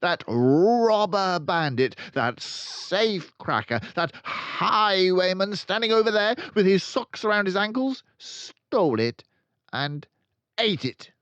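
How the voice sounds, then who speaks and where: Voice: mid-range voice, sing-song, takes it slowly, a bit bright, clear, resonant tone, no echo, lo-fi sound, voice pushed up. A reader voicing a tyrannical giant headmistress who barks and shrieks in a rage, in a storybook reading. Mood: raging. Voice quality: shrieking, barking.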